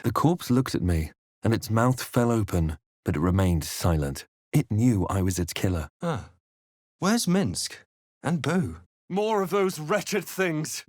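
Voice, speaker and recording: A composed fantasy game hero, mid pitched, theatrical and measured, super dry studio sound. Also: male voice, dry recording. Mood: wry, alert. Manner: measured, literary, theatrical delivery. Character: male fantasy adventurer hero narrating his exploits, composed, wry. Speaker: video game player-character voice actor. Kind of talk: dramatic fantasy game voice lines and narration.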